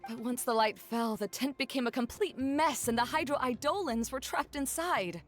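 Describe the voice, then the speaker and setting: A woman playing a composed, cautioning guide in a fantasy video game, speaking in a measured, explanatory way. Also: high voice, soft background music, lightly acted. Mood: explaining.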